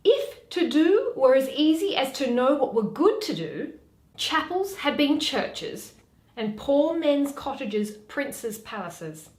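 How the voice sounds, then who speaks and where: A woman performs a young noblewoman of classical theatre, witty and weary, with a mocking edge. The voice is high and swoops expressively through slow, deliberate phrases in an echoey room. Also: very animated, darkish tone, heavily acted. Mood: exasperated. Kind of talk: theatrical Shakespeare reading.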